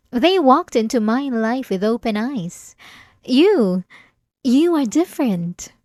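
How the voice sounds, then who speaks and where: A woman's voice: on the high side, a little mellow, dry recording, clean studio sound. A woman playing an obsessive, possessive centuries-old vampire in an ASMR roleplay, speaking in a theatrical, silky, menacingly tender way.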